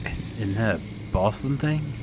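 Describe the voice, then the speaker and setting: A man's voice: fairly low pitch, slow pace. A man with a slight Southern drawl, chatting casually while gaming, swearing easily and laughing often. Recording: steady background noise.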